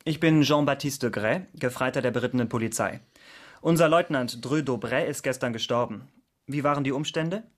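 An actor playing a brisk mounted police corporal of old Paris, clipped and formal, in a German radio crime drama. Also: male voice, mid-range voice, close to an everyday voice. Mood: official, probing.

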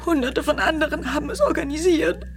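An actress playing a battered, bitter and despairing wife in a German radio drama, distressed, tearful and accusing. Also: female voice, sits high, fairly quick. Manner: anguished, accusing. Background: faint music.

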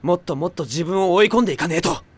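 甲高く早口の熱血男子高校生. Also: male voice, high-pitched, racing. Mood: earnest. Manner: loud, emphatic, rough boyish slang. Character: hot-blooded, earnest, boisterous high-school boy athlete. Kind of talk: anime audio drama acting.

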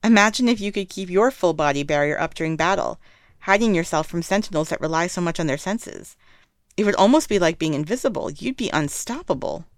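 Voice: medium pitch, expressive, a little fast, no room sound, studio quality, lightly acted. A reader voicing a playful, talkative young male cultivator who speaks eagerly and persuasively. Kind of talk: fan fiction read aloud.

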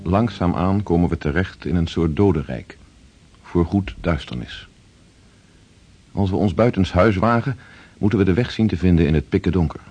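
An actor playing a grave expedition diarist, narrating in a measured, somber way in a radio play. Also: male voice, low-pitched, warm tone, dull audio, a little hiss or hum, lightly acted. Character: grave male expedition diarist recounting a polar winter.

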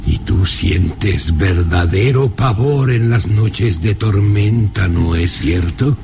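An actor playing a domineering, menacing baron with a mocking, commanding manner in a radio drama. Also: male voice, sits low, mellow, a little hiss or hum. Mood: sinister taunting. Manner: slow taunting menace, commanding. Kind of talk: vintage radio drama acting.

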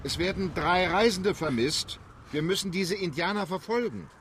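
An actor in a radio drama playing a calm, resolute English gentleman with measured, formal speech. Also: sits high.

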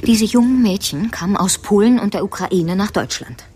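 An actress playing a brisk, sharp-minded woman helping with an investigation, matter-of-fact and insistent, in a radio crime drama. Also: mid-range voice, a little hiss or hum, natural voice.

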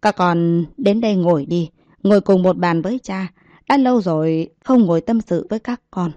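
A woman voicing an elderly grandfather who speaks softly and calmly to his family. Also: fairly low pitch, normal speed, no echo, muffled recording, natural voice. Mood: gentle. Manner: soft, calm. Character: elderly grandfather, gentle but stern patriarch.

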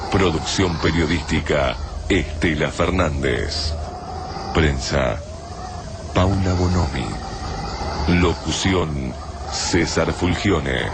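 A man's voice: rumbling low, background noise. A man reading scripted credits as a radio drama announcer, with music behind him.

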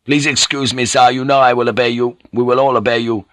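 A man's voice: mid-range voice, flat delivery, brisk, a bit bright, no echo, pitched above the performer's own voice, close to an everyday voice. A man voicing a dutiful, deferential warlord's son in an audiobook, earnest, respectful and anxious. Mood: anxious pleading. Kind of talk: dramatic audiobook character reading.

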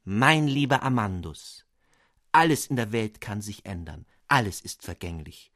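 A man's voice: fairly low pitch, very animated, measured pace, fairly bright tone, dry recording, clean studio sound. A man voicing a cheerful, flighty young woman writing a lighthearted letter.

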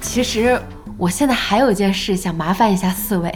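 An actress playing a brisk, spirited internet cafe owner in an audio drama. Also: female voice, medium pitch, faint music behind, natural voice. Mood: bright, hospitable. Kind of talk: Chinese audio drama acting.

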